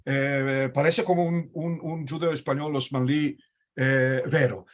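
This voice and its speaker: A man's voice: medium pitch, fairly even intonation, measured pace. A man hosting a video-call talk in Ladino, asking long, searching questions with many fillers and restarts. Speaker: host. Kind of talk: conversational interview in Ladino.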